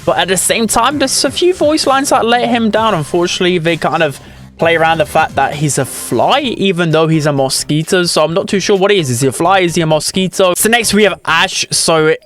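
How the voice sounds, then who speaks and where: A man with a fairly high voice hosts a gaming video. He gives casual, opinionated commentary, with music playing behind him. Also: brisk, fairly bright tone.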